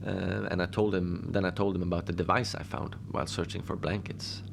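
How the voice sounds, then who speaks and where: A man playing a deadpan lone adventurer who tells his odd tales slowly and conversationally, rambling with halting asides, in a cozy horror audio drama. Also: sits low, creaky, noisy background. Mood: deadpan, musing.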